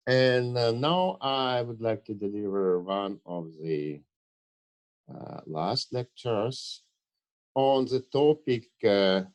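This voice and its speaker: A man giving an online university lecture to students in a halting, hesitant way, with dull, muffled lo-fi audio. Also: medium pitch, lively intonation, a little mellow. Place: online screen-share session.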